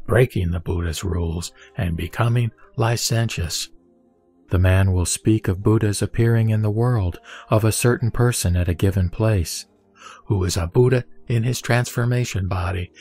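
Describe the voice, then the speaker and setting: Low-voiced man reading scripture. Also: slightly rough. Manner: measured, steady recitation. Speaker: scripture reader. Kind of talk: solemn scripture reading over music. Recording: soft background music.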